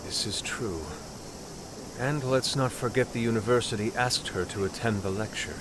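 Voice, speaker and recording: A man playing a composed, thoughtful young man in a game dub, speaking in a measured, formal way. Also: fairly low pitch, noisy background, natural voice. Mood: grave, pensive. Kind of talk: English game dub acting.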